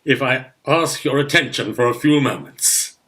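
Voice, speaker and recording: A man's voice: medium pitch, moderate pace, bright, forceful tone, natural voice. A man playing a pompous older guardian uncle in a theatrical radio farce, with florid, hesitant speech. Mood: pompous delight.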